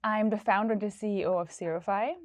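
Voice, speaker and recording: A woman's voice: medium pitch, fairly even intonation, fast talker, no room sound. A woman from Austria, a startup founder, talking casually in an office interview, searching for words with many fillers.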